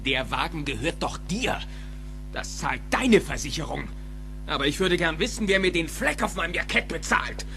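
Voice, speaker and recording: Actor as a sneering, gravelly bully boss. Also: male voice, fairly high pitch, expressive, over music. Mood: aggressive, mocking. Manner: taunting, drawn-out, bullying. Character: loud sneering bully supervisor, adult man, domineering and mocking. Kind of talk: German audio drama film dub acting.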